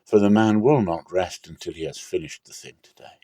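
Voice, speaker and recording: A man's voice: low-pitched, fairly quick, mellow, dry recording. Man voicing a caring older mother-in-law. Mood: gentle counsel.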